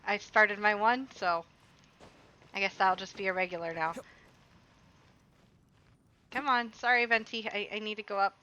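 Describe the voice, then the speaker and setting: A woman's voice: medium pitch, moderate pace, pauses often, sharp tone, lo-fi sound. A woman streamer chatting casually and rambling while she plays a game, talking back to the characters on screen. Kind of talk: casual chat while gaming.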